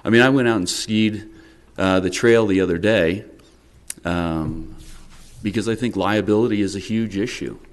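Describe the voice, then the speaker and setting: A middle-aged man, a town council member, questioning a business owner at a public work session, measured and repeating words as he presses his point. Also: on the low side. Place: town council meeting room, indoors. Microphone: desk microphone.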